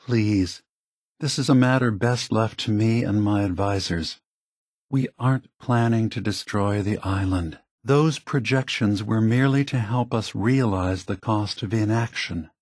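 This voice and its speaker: A man voicing a calm, remorseful old synthetic android leader in a video game, speaking in a measured, grave, deliberate way. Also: fairly low pitch, no room sound, clean studio sound. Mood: measured, concerned.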